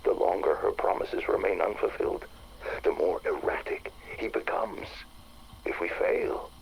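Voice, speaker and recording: An actor playing an arrogant, sly scientist recording an audio log for a game, speaking deliberately and theatrically with disdain. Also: male voice, sits low, wildly swooping pitch, creaky, breathy edge, heavily acted. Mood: scornful, brooding. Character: arrogant, sly male scientist gloating over his director's decline. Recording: noisy audio log tape.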